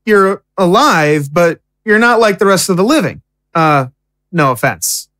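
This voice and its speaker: A woman's voice: fairly low pitch, animated, a little slow, fairly bright tone, no room sound, studio quality. A woman playing an impatient, outspoken young prisoner in an audio drama, with a casual, indignant way of talking. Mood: earnest.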